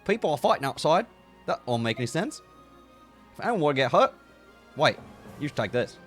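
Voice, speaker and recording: A man's voice: fairly high pitch, big pitch swings, a little fast, a bit bright, breathy edge, faint music behind, pitched above the performer's own voice, heavily acted. A man voicing a concerned, helpful villager in a video game. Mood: worried, kind. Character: concerned, helpful villager offering homemade medicine. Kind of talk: video game character dub.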